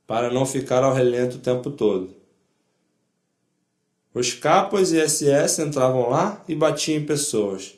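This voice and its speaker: Slow-speaking elderly man with foreign accent, echoey. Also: mid-range voice, darkish tone. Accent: foreign accent in Portuguese. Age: older. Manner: deliberate, emphatic, repeats short phrases. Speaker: Holocaust survivor giving testimony. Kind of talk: spoken first-person testimony to an audience.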